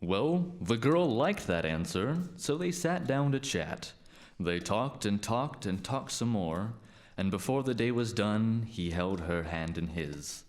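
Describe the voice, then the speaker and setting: A man's voice: lowish voice, expressive, slow pace. A man playing a storyteller, narrating a scripted folktale. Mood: storytelling.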